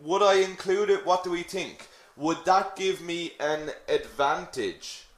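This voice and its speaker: A man's voice: high voice, measured pace, roomy sound. An Irish man teaching a lesson on CV writing, chatting with the class and checking in with frequent "okay?" questions. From Ireland. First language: English. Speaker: English teacher.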